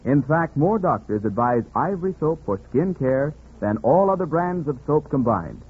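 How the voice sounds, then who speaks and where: Husky, animated 1940s radio announcer man. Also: on the high side, moderate pace. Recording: muffled, phone-like 1940s radio recording.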